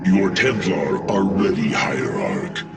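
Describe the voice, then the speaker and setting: An actor playing a grave, steady male alien advisor in a video game, speaking in a measured, formal way. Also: deep voice, gravelly, far from the mic, loud music behind the voice, heavily acted. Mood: urgent. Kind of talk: game cutscene voice acting.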